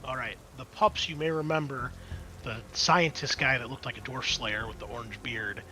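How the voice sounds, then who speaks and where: A man's voice: mid-range voice, fairly even intonation, moderate pace, over music, poor audio. A man acting as game master, narrating a tabletop roleplaying game in a casual, explanatory, conversational way. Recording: clear background noise.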